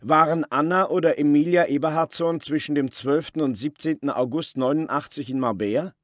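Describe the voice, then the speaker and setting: A man's voice: a little high, a little fast, no echo, clean studio sound, natural voice. A man playing a dogged, reflective police detective in a German radio crime drama. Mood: polite, matter-of-fact.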